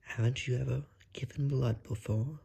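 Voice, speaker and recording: A man playing a suave, predatory vampire in an ASMR roleplay, speaking in a breathy half-whisper with a courtly, coaxing manner. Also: medium pitch, steady tone, warm tone. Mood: soothing, amused. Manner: courtly, drawn-out, seductive menace.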